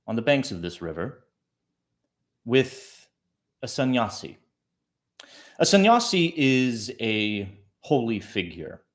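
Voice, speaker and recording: A man narrating as a storytelling host, speaking deliberately in short phrases with pauses, as if for a bedtime tale. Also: medium pitch.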